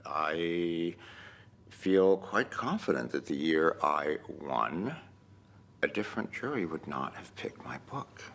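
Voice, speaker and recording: A man's voice: rumbling low, animated, takes it slowly, fairly bright tone, slight background noise. A man speaking as an author in a documentary interview. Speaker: prize-winning author interviewee.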